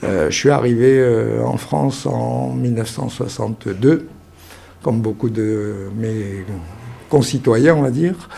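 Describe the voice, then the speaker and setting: A man's voice: mid-range voice, takes it slowly, gravelly, dark tone, slight background noise. An older man from Algeria, telling his story in an interview with many hesitations and restarts. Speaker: interviewee, local resident. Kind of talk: casual spoken testimony.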